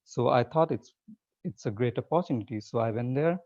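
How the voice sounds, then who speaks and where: A man, talking in a warm, mellow tone. He speaks in an easygoing, conversational way and often restarts his sentences. The sound is a little dull and muffled, like audio from a video call. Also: mid-range voice, brisk. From Nepal. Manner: relaxed and conversational, with frequent restarts. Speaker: guest speaker. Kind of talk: informal webinar talk. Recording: slightly muffled webinar audio.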